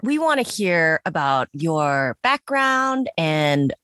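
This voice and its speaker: Woman podcast host, bubbly and rambling, lively voice, dry sound. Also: low-pitched, slow, no echo. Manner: bubbly, rambling, laughs often. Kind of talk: casual chat.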